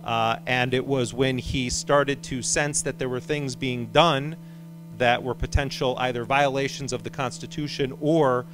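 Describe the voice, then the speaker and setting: A man's voice: medium pitch, fairly even intonation, unhurried, music in the background. A man speaking at a public panel in front of an audience. He talks unscripted, with many fillers and restarts. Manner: says he has a loud voice. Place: event hall before an audience.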